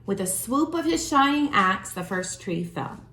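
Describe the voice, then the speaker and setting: A woman reading a children's storybook aloud as a storyteller, with expressive, deliberate phrasing. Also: medium pitch. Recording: roomy, echoing room sound.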